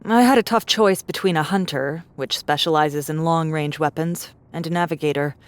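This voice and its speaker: Audiobook role, played by a woman: self-assured young female survivor, pragmatic, low voice, dry close sound. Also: normal speed, no echo, natural voice. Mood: confident, practical. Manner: matter-of-fact, confident. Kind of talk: audiobook drama acting.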